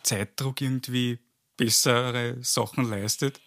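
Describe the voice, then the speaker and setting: A man hosts a relaxed conversation and keeps it moving with brief, curious questions. His voice sits at an everyday middle pitch. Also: slow pace. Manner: curious, asks short follow-up questions. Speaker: podcast host. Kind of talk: casual interview questions.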